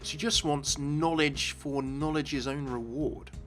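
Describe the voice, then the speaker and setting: A man's voice: fairly high pitch, fairly even intonation, slow pace, fairly bright tone. A man hosting a gaming video, narrating in an enthusiastic explainer style. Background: music.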